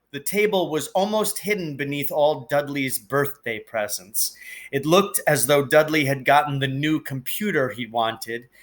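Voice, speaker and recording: Slow, deliberate man reading a storybook. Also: on the high side, a bit bright. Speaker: teacher reading aloud. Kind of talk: expressive storybook narration.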